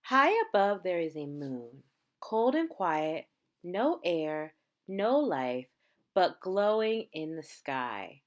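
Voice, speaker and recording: A woman reading a picture book aloud like a teacher, in measured, dramatic phrases with emphasized words. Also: on the low side, big pitch swings. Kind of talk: read-aloud storytelling.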